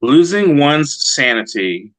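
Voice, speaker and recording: A man speaking as an interviewed poet, in a reflective, halting conversational way. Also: a little high, very animated, a bit bright, no room sound, low-quality recording. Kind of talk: conversational interview with brief poem reading.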